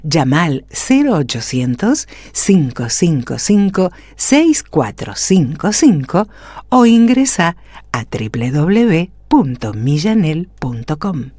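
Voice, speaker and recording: Locutora de voz grave, aterciopelada y argentina. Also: female voice, deep voice, big pitch swings, slow, warm tone, no echo. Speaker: commercial announcer. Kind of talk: scripted radio ad read.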